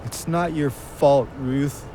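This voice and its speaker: A man's voice: medium pitch, takes it slowly, dark tone, slight background noise, natural voice. An actor in an audio drama playing an anguished, guilt-ridden young man, bitter and blunt in his slangy talk. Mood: subdued guilt.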